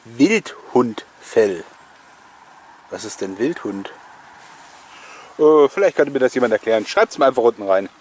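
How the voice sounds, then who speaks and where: A middle-aged man with a husky, slightly rough voice at an average pitch hosts a collectors' video. He talks casually and fondly about old things, chatting and joking as he reminisces. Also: sing-song, measured pace, long pauses, a little mellow. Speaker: host of a collectors' video series. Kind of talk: casual nostalgic catalogue commentary.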